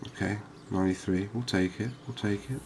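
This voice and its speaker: A man giving unhurried, murmured ASMR commentary as he hosts a fishing game series. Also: fairly low pitch, steady tone, darkish tone, slight background noise. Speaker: host of a gaming series.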